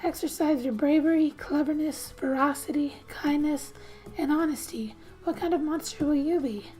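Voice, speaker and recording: A soft-spoken woman reading game text aloud in a gentle, hushed ASMR style with musing asides, often slipping into a whisper. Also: high-pitched, moderate pace, faint music behind, rough recording. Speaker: gaming ASMR host.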